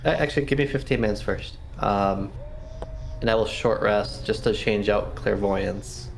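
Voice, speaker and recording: A man's voice: on the low side, moderate pace, gravelly, slight background noise. A man chatting casually as a player in a tabletop roleplaying game, hesitant and thinking aloud as he goes.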